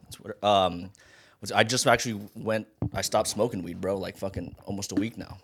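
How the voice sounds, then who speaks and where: A man's voice: on the low side, fairly even intonation, normal speed, a bit bright, slight background noise. An American man hosting a casual podcast chat, talking in slangy, profane, bro-heavy language. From Hawaii and Las Vegas, United States. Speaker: podcast host.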